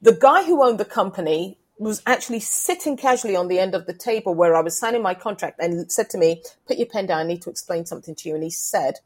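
A middle-aged woman, speaking candidly as a panelist and company co-founder, telling stories in an animated way. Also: medium pitch, fast talker, few pauses, slightly rough. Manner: animated storyteller, acts out quoted dialogue. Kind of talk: candid storytelling in a discussion.

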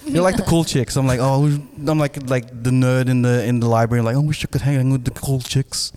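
A man's voice: mid-range voice, fairly even intonation, brisk, a little mellow, smooth. A man co-hosting a casual podcast, joking, punning and laughing often. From Australia. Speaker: podcast co-host.

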